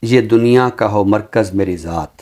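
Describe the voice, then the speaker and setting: A man speaking as a commentator on a literary show, in a deliberate, reflective way with emphatic phrasing. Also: lowish voice, darkish tone. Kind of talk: reflective commentary and Urdu poetry recitation.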